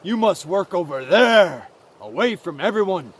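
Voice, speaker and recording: Man as a slow, stern lead mouse. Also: high voice, expressive, slightly rough, heavily acted. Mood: stern, rejecting. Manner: deliberate, scolding. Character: stern, dismissive lead mouse shunning an outcast.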